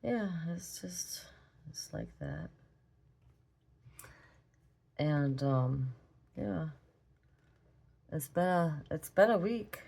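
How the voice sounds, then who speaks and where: A hoarse, raspy woman with a Minnesotan accent, chatting casually as she draws in an art tutorial vlog. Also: low-pitched, lively intonation, very slow, long pauses, dark tone. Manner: chatty, rambling, talks while drawing. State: hoarse from a cold. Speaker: art vlogger. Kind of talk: relaxed drawing tutorial chat.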